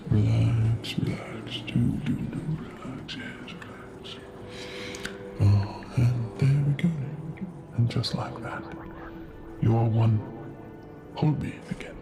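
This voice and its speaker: A man playing a gentle, awkward Death in an ASMR roleplay, soothing a patient in a breathy, hesitant half-whisper. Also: on the low side, fairly even intonation, takes it slowly, long pauses, loud music behind the voice. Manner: hesitant, soothing, repetitive, slightly formal.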